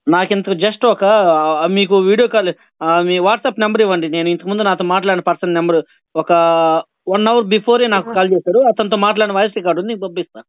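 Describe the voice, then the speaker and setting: A man's voice: high voice, fast, no room sound. A man arguing over a phone call as a borrower, sarcastic and insistent, repeating himself. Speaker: borrower on a debt collection call. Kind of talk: argumentative phone conversation. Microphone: phone line. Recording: thin, band-limited phone call recording.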